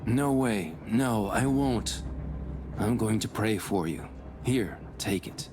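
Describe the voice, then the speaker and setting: An actor playing an anxious, pious gentleman in a visual novel, formal and earnestly pleading. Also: fairly low pitch, lively intonation, slow pace. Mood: pleading, fearful. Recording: voice over prominent background music.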